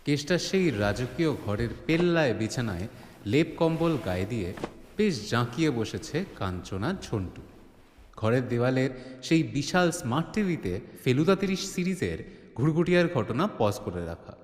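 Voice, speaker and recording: A man's voice: medium pitch, very animated, moderate pace, a little hiss or hum. A man delivering scripted, dramatic narration as the storyteller of an audio drama. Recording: echoey reverb on the voice.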